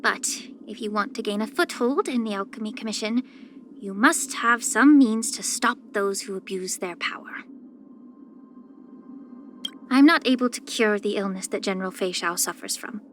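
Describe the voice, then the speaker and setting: A woman voicing a poised, soft-spoken, subtly sly young female healer in a video game, with measured, gentle, composed delivery. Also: mid-range voice, faint music behind, lightly acted. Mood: grave, measured. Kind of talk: scripted character voice lines.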